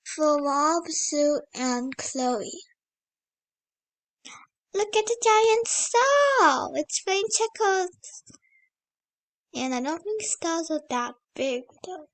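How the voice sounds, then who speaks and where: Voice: very high voice, very animated, very slow, long pauses, a bit bright, low-quality recording. A child hosting a read-aloud, reading a picture book and breaking off to exclaim over the pictures with wonder.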